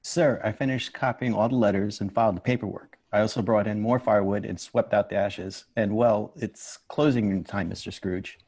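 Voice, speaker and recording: A man's voice: lowish voice, fairly even intonation, normal speed, hardly any pauses, a little mellow, husky. A man playing a meek, polite, hard-working office clerk in a table read, deferential and a little hesitant. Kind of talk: amateur table read of a Victorian Christmas story.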